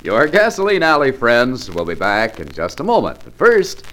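A man announcing a 1940s radio program, smooth and promotional. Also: medium pitch, huge pitch swings, moderate pace, over loud music.

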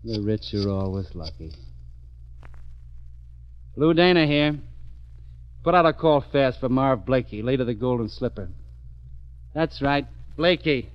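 A man's voice: mid-range voice, animated, a little slow, pauses often, clear, resonant tone, no room sound, natural voice. Guy playing a sardonic tough cop narrating, dry and clipped, old muffled radio sound. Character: hardboiled male police lieutenant. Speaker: narrator and detective. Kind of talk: 1940s radio acting.

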